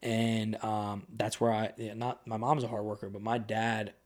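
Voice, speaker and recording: Young guy on a podcast, kinda low voice, rambly and loose, lots of um and like. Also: level tone, moderate pace, a little mellow. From United States. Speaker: podcast guest. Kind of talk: casual conversation. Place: podcast studio.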